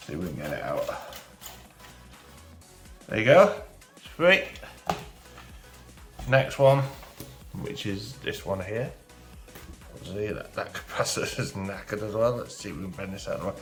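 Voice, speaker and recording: A hoarse man with a cold talks through a repair in a relaxed, chatty way. His voice sits very low. The recording has a roomy echo with faint music behind him. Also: unhurried, pauses often. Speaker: channel host. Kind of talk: casual hands-on repair commentary.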